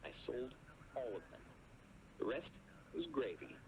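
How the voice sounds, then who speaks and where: A laid-back storage-unit flipper rambles on a call-in show, played by a man. His voice bounces up and down freely, with steady noise underneath. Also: mid-range voice, a little slow, creaky. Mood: rambling. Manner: rambling, casual, easygoing. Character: laid-back guy who flips storage units. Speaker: caller.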